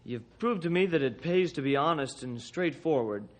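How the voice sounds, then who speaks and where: A man's voice: on the high side, expressive, normal speed, natural voice. An actor playing an earnest, polite teenage boy in an old-time radio drama, sincere and respectful. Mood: grateful, sincere.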